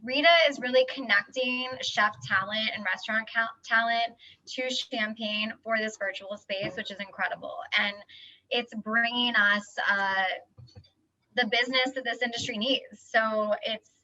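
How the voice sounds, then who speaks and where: Bright upbeat woman on muffled video call. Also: a little high, steady tone. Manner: upbeat, fast promotional talk. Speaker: moderator. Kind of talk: casual panel chat on a video call. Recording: dull lo-fi video-call audio.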